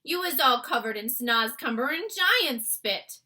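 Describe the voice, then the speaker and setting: Storybook role: gentle, dignified giant fellow acted by a woman, innocent, muddled grammar, lilting wide intonation, very bright tone. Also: high-pitched, expressive, unhurried, pitched above the performer's own voice, exaggerated voice. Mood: tender, earnest. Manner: mangled folksy grammar, earnest. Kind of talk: acted children's storybook read-aloud.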